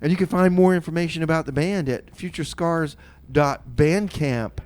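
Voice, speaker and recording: Slightly high-voiced man hosting community radio. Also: normal speed, a little mellow, clean tone. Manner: informal, repeats phrases. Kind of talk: casual, rambling music-show announcing.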